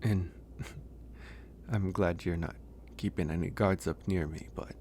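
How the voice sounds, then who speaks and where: Casting: male actor as caring, slightly shy werewolf for ASMR audio roleplay; very low, slow, soft delivery. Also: fairly even intonation, slight background noise. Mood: hesitant, curious. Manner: soft, hesitant, pausing. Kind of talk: ASMR roleplay, intimate one-sided dialogue.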